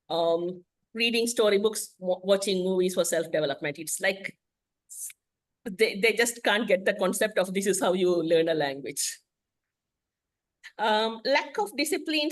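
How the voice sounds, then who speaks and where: A woman whose first language is Tamil, giving a frank, explanatory presentation on a video call as an English teacher. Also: mid-range voice. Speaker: guest speaker, English teacher.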